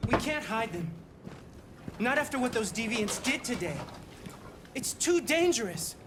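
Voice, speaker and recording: A man playing a hot-headed, fearful young son in a cinematic video game, agitated and forceful. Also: extremely high pitch, very animated, measured pace, background noise, full character voice. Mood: angry, frightened. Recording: dramatic score under the voice.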